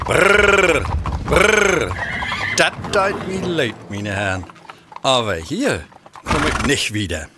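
An actor playing a plain-spoken, wary but polite coachman in an audio drama, with a northern Low German accent. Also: lively intonation, slow pace, long pauses, heavy background noise.

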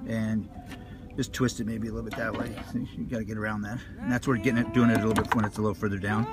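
A man gives quick, patient instructions out on the deck of a sailboat. His pitch sits in the middle and he talks fast. Loud music plays over the outdoor recording. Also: flat delivery. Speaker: sailor teaching crew. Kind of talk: casual instructions on a boat.

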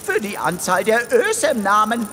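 A woman's voice: mid-range voice, big pitch swings, moderate pace, fairly bright tone, slight background noise. A woman playing an indignant, scornful alien crusader in a comedy science fiction audio drama. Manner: scornful, emphatic. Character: indignant female alien assistant, crusading against cruel factory.

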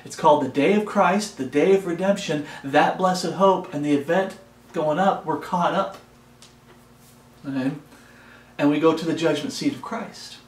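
A man's voice: a little high, normal speed. A middle-aged man giving an informal Bible study sermon, earnest and repeating phrases for emphasis, recorded at a desk in a small room. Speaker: preacher, Bible study host. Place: small home office, indoors. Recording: roomy, echoey indoor sound.

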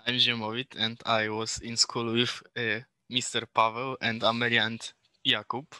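Young polish guy, shy answers, super bright dry voice. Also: medium pitch, flat delivery, moderate pace, clean tone, no room sound. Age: young adult. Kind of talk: casual video call chat.

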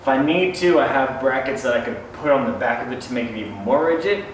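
A young man talking casually and a bit haltingly to camera, explaining a DIY job as a vlogger. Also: medium pitch, slight background noise. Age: young adult. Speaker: DIY bus conversion vlogger.

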